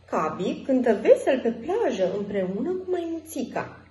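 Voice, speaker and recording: Female children's storyteller, lively pitch movement, soft mellow tone, roomy echo. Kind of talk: expressive storybook narration for children. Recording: roomy echo, faint music.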